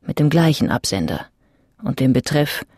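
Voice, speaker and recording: A woman's voice: rumbling low, big pitch swings, moderate pace, a little mellow, breathy edge, dry recording, clean studio sound, exaggerated voice. A woman as the sober, factual narrator of a crime thriller radio drama.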